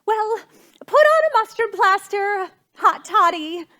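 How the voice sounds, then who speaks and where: A woman's voice: extremely high pitch, expressive, slow pace, bright, forceful tone, heavily acted. An actress playing a fussy, imperious society mother and hostess in a stage comedy, haughty, exasperated and theatrical. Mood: sweetly sympathetic.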